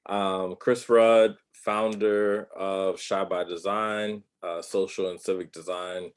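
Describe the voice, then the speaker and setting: A man's voice: low voice, level tone, slow pace, clear, resonant tone. A man speaking in a casual panel conversation over a video call, friendly and upbeat, with frequent ums. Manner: friendly, upbeat, filler-heavy. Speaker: design professor and founder.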